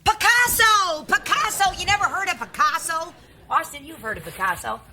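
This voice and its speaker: A woman's voice: extremely high pitch, expressive, normal speed, bright, forceful tone, a little hiss or hum, heavily acted. An actress playing a dotty, oblivious older mother in a stage play, with a guileless American voice and a mildly scolding manner. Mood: insistent. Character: dotty, oblivious elderly mother of grown sons.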